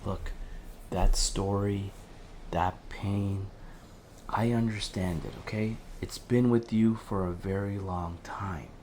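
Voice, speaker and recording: Slow, mellow, earnest male helpline counsellor acted. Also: lowish voice, steady tone, slight background noise. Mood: reassuring, insistent. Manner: pleading reassurance, frequent tag questions. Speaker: helpline host. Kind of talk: dramatic audio fiction acting.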